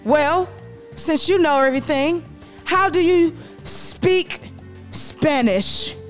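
A woman voicing a loud, boastful, excitable man who cusses and hypes himself up. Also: very high-pitched, takes it slowly, soft background music, voice pushed up, exaggerated voice. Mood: sassy. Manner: brash, cussing, hyped-up.

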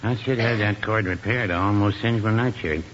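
An actor playing a folksy small-town shopkeeper with a dry, understated joking manner, in 1950s radio comedy style. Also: male voice, fairly low pitch, normal speed, natural voice. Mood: folksy amusement. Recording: very noisy, muffled 1950s radio recording.

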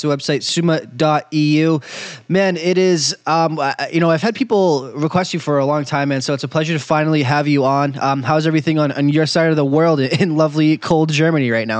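A man's voice: fairly high pitch, dry recording. A man hosting a sports betting podcast, talking casually and effusively with lots of filler ums. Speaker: podcast host.

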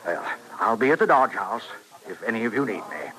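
Bright, persuasive professor-inventor. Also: male voice, fairly low pitch, animated, brisk, rough recording. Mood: grateful. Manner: articulate, persuasive, theatrical. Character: smooth-talking male professor-inventor with persuasive urgency. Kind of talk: 1950s-60s radio western acting.

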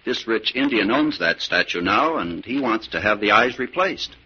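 An actor playing a suave, scheming young heir in a 1940s radio drama, with a theatrical, calculating manner. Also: male voice, lowish voice, lively intonation, normal speed, sharp tone, husky, exaggerated voice. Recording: muffled old 1940s radio recording.

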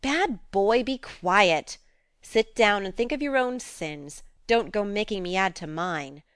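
Lady reading as a sensible tomboy teen girl, frank and coaxing, clean clear voice, audiobook. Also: medium pitch, animated, slow pace, a bit bright, dry recording, studio quality. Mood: firm.

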